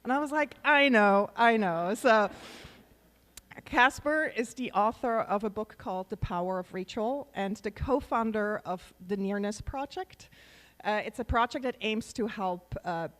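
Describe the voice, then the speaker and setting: A woman's voice: mid-range voice, measured pace, a bit bright. A woman hosting a live event, introducing a speaker on stage in an enthusiastic, chatty way. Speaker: event host. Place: large venue on stage.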